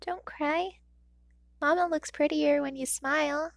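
A woman voicing a gentle, determined young boy who speaks softly and earnestly. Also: high voice, fairly even intonation, a little slow, no echo, studio quality, pitched above the performer's own voice.

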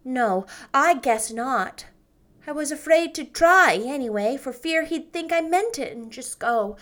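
A woman's voice: high-pitched, expressive, unhurried, a bit bright, voice pushed up. A woman voicing a timid middle-aged spinster who speaks hesitantly and meekly in a storybook reading. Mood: resigned. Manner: hesitant, meek and wistful.

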